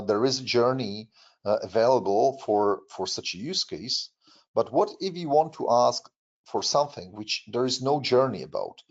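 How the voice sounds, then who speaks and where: A man with a Czech accent, Czech his first language, presenting a software demo in a webinar with frequent fillers and restarts. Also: fairly low pitch, muffled recording. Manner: halting, many fillers and restarts. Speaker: product presenter.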